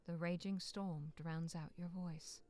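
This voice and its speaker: A woman reading scripted dramatic story narration in a measured, solemn, sometimes hushed way. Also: fairly low pitch, fairly even intonation, soft background music. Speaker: narrator of a visual novel.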